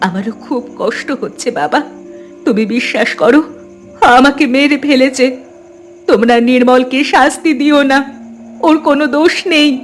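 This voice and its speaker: Cartoon casting: actress as young female ghost, sorrowful, fairly high, music behind. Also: moderate pace, close to an everyday voice. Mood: pained, pleading. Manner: plaintive. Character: sorrowful ghost of murdered young daughter, pleading. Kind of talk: dramatic cartoon voice acting.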